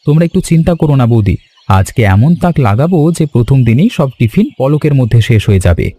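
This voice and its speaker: Actor playing an eager, helpful young village man, mellow. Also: medium pitch, sing-song, quick pace, no room sound. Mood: cheerful. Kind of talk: animated story dialogue.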